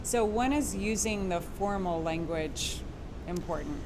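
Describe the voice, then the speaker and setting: A woman's voice: on the low side, measured pace, noisy background. A woman from Quebec whose first language is French, hosting a course in a chatty, informal way with frequent fillers. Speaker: course host. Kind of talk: conversational instructional chat.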